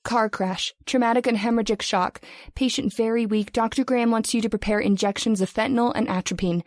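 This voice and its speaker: Brisk, efficient actress as hospital switchboard woman. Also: on the high side, fairly even intonation, a little mellow, dry recording, clean studio sound, lightly acted. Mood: brisk, businesslike. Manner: businesslike, clipped. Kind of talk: old-time radio drama acting.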